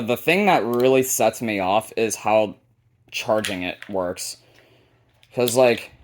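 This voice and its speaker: A man hosting a casual, unscripted hobby video showcase, talking informally and a bit haltingly. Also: medium pitch, clean tone. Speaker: hobbyist video host showing a radio collection.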